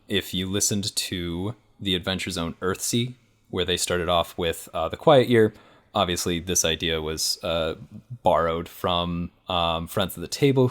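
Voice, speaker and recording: A man chatting casually as a podcast guest, rambling and correcting himself as he goes. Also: deep voice. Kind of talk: casual chat.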